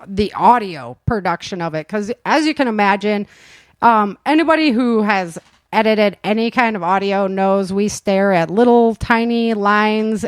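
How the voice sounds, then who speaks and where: A woman's voice: on the high side, clean tone, no room sound. A woman hosting a casual cast interview, chatty and informal, often chuckling as she talks. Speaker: host and producer of an audio drama.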